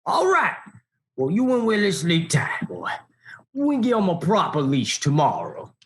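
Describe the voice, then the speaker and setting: A folksy old Southern grandfather, acted by a man in a radio play. He is kindly and countrified, with pitch that rises and drops freely as he talks. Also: wildly swooping pitch, normal speed. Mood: reassuring. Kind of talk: audio drama acting.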